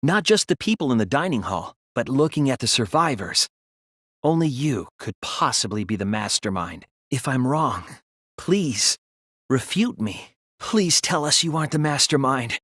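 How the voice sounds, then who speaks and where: A sensitive teenage boy detective in a dramatic game dub. His mid-pitched voice moves widely and expressively, earnest with an anxious edge. The sound is dry and studio-clean. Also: sing-song, a bit bright, breathy edge, no room sound. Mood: desperate pleading. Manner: earnest, measured explaining that turns to strained pleading. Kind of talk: dramatic English video game dub acting.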